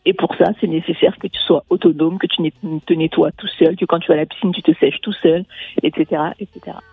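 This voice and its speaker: A woman's voice: on the low side, fairly even intonation, quick pace, husky, no room sound. A woman from Mali who grew up in France, a guest coach explaining things earnestly in a radio interview, with many fillers and restarts. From Mali, raised in France. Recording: phone-like line, dry, faint music.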